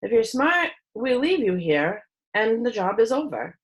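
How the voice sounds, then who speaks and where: A woman's voice: a little high, big pitch swings, measured pace, dull audio. A woman voicing a bossy male thief in a storybook, giving smug, menacing orders. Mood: threatening.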